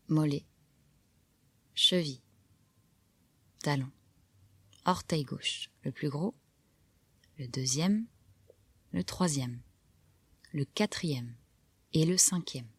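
A woman guiding a relaxation meditation, giving calm, soft, measured instructions with pauses between phrases. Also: low-pitched, breathy edge, dry recording. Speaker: yoga nidra guide. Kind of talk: guided relaxation meditation narration.

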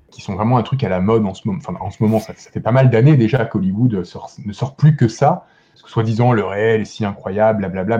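A man's voice: fairly low pitch, mellow, highs cut off. A man in a casual podcast discussion as a guest critic, hesitating and repeating words as he searches for them.

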